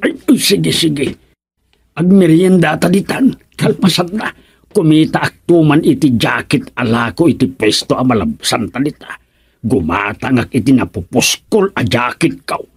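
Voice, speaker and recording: A man's voice: a little high, expressive, measured pace, husky, no room sound, heavily acted. A man playing an easygoing, exclamatory husband in a radio comedy drama. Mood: bantering.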